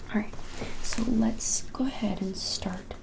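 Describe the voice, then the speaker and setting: A woman in her twenties whispering softly and without hurry in an ASMR roleplay as a shop keeper, often hesitating. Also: on the high side, creaky, rough recording. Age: 20s. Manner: soft whispering, hesitant and unhurried. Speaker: ASMR creator playing a witch shop keeper. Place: small plain-walled room, indoors.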